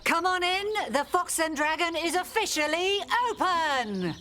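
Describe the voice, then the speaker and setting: A woman's voice: very high voice, expressive, unhurried, noisy background. An actress playing a flirtatious, welcoming village pub landlady in a radio soap. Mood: bright, welcoming. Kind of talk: radio soap opera acting. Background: crowd chatter.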